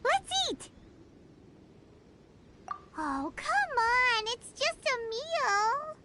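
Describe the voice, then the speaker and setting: Very squeaky childlike fairy companion. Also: female voice, sing-song, extremely slow, noisy background, exaggerated voice. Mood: coaxing. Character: tiny childlike floating fairy companion girl, chirpy and eager. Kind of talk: video game voice acting. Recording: game music behind. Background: loud playful music.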